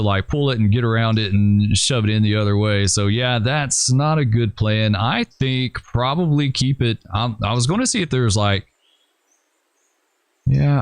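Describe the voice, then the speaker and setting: A man hosting a gaming channel, thinking aloud and rambling with hesitations as he plans moves in a train simulator game, his voice going hoarse. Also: mid-range voice, no echo. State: losing his voice. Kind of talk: casual gameplay commentary.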